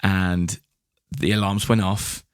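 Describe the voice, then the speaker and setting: A British man co-hosts a podcast in a somewhat low voice. He chats casually and with enthusiasm, often repeating phrases for emphasis. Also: level tone, slow, clear, resonant tone, no room sound, clean studio sound. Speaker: podcast co-host. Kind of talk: casual chat.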